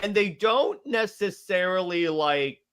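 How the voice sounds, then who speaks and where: Guy hosting a casual movie podcast, kinda high voice, bright and excitable. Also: slow, a little hiss or hum. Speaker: podcast host.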